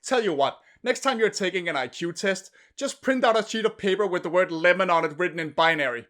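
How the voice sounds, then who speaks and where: A man in his thirties from Denmark, speaking English, giving a sarcastic, animated scripted review. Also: sits high, brisk. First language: Danish. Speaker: video reviewer.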